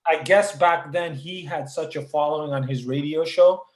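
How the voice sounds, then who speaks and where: A man from Canada, a rapper guest telling his story in a relaxed way, with many filler words. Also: fairly high pitch, moderate pace, echoey room. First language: Punjabi. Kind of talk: casual interview over a call.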